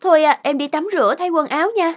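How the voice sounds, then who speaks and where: A woman's voice: high-pitched, fast, lightly acted. A woman voicing a sweet, evasive young wife in a cartoon. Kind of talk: Vietnamese cartoon dubbing.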